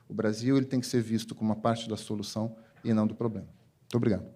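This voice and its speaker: A man giving a measured panel talk, pausing and restarting now and then. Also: fairly low pitch, fairly even intonation, very noisy.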